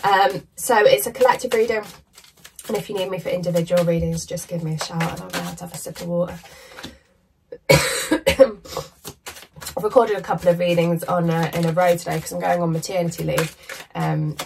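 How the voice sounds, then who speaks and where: A woman in her thirties doing a chatty, emphatic tarot reading to camera in a small room, her voice going hoarse. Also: lowish voice, fairly even intonation, fairly quick, pauses often, darkish tone, recorded from a distance, slight background noise, low-quality recording. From United Kingdom. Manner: chatty, emphatic, casual swearing. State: losing her voice, coughing. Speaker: tarot reader. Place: small decorated room indoors.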